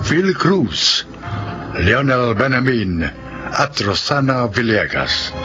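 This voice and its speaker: A man announcing for a radio drama in a formal, measured, dramatic scripted broadcast style. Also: medium pitch, dull audio.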